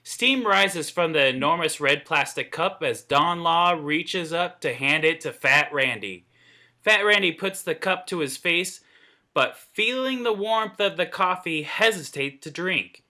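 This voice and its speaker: Guy reading out script narration, really bright punchy voice, kinda high, informal w/ some slips. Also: animated, a little slow. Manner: informal read-through with slips and stumbles. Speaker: table reader of script narration and dialogue. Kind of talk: scripted narration read aloud.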